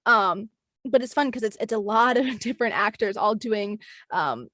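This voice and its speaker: A woman's voice: medium pitch, normal speed, pushed and bright, no echo, clean studio sound. A woman voice actress as an interview guest, answering in a friendly way with frequent ums and light laughs.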